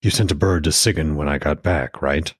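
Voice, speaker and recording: A man voicing a weary, stubborn old Norse god in a terse, measured way for an audiobook. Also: very deep voice, slightly rough, no echo, clean studio sound, voice pushed down. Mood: firm, weary.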